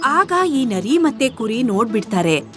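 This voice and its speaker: A woman narrating an animal story in an animated storytelling style. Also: on the high side, a little slow, music in the background.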